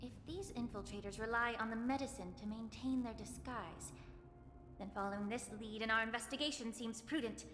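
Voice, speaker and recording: Woman acting a high-voiced, poised healer. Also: brisk, fairly bright tone, roomy sound, long reverb tail, a little hiss or hum, lightly acted. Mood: composed, focused. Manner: formal, polite, precise. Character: poised young female healer, polite with cool reproach. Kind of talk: scripted video game character dialogue.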